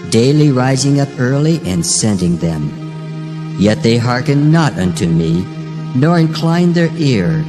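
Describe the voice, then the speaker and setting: A man playing God as a stern, solemn figure in a dramatized scripture reading, with measured, declamatory delivery in archaic English. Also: medium pitch, lightly acted. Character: God as a stern, solemn male speaker rebuking his people. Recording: reading over prominent background music. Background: music.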